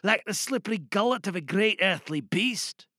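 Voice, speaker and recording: Audio drama: war-weary young soldier, acted by a man, bitter, musing quietly, swinging pitch. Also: animated, moderate pace, no room sound, clean studio sound, exaggerated voice. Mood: despairing. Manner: hushed, confiding, musing.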